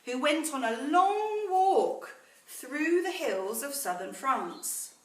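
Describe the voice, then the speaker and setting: A woman reading a story aloud to children in a teacherly way, pausing to explain words and ask questions. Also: a little high, a bit bright. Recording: roomy echo, distant mic, faint noise.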